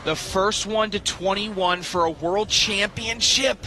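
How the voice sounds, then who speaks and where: A man's voice: sits high, slow pace, sharp tone, faint music behind. A man working as a color commentator on a live championship broadcast, explaining the stakes with dramatic emphasis. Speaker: color analyst sports commentator. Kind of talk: live TV analysis of a cornhole championship. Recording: clear venue noise behind the voice. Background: noisy venue ambience.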